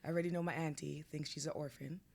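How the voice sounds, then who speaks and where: A woman's voice: low-pitched, steady tone, fast, vocal fry, darkish tone. A woman hosting a podcast, talking casually with her cousin and laughing often. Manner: chatty, laughs often, self-deprecating. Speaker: podcast host.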